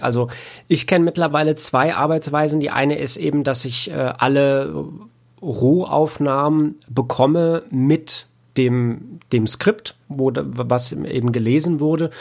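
A man's voice: mid-range voice, creaky. Man explaining his work, casual and hesitant. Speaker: audiobook editor, producer.

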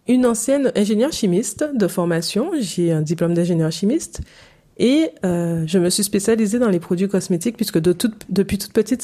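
Mellow-voiced Caribbean woman chatting. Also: lowish voice. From Saint-Martin, Caribbean. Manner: chatty, personal, many fillers. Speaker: podcast host. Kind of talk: solo podcast monologue.

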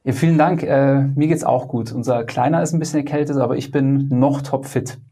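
A man's voice: medium pitch, steady tone, fairly quick, warm tone, slightly rough. A man hosting his podcast, asking guests friendly, upbeat questions. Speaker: podcast host and app founder. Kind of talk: podcast interviewing.

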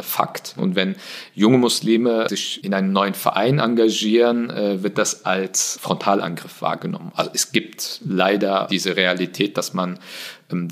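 German-raised man, low gravelly voice, explains his work at an even, thoughtful pace with frequent hesitations. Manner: earnest, measured, frequent hesitations. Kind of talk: explanatory interview answers.

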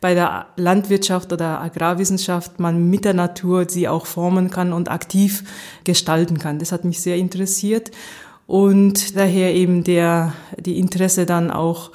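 Woman in her forties, fairly low voice, searching for words as an interview guest. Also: flat delivery, normal speed, darkish tone. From Japan. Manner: hesitant, many fillers and restarts, light laughs. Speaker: interview guest, agricultural researcher. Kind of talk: casual interview.

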